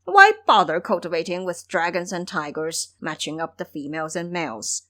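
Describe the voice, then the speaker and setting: A woman's voice: lowish voice, lively intonation, normal speed, bright, forceful tone. A woman voicing an impulsive, brash male monster king who questions dismissively, in an audio story.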